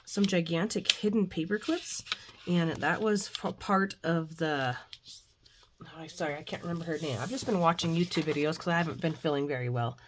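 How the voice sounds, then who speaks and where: A middle-aged woman, hoarse from the flu, chatting casually and rambling a bit as she hosts a faith and crafting video at home. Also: sits low, normal speed, background noise. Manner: chatty, rambling with frequent fillers. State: hoarse, recovering from flu with a cough. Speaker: craft channel host. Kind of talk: casual devotional and crafting chat.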